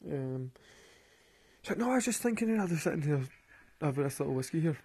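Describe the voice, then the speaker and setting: Gravelly Glaswegian man telling stories. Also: fairly high pitch, animated, fairly quick, darkish tone. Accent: Glaswegian Scottish. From Glasgow, Scotland. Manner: rambling, sweary, laughs at his own stories. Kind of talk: casual storytelling monologue.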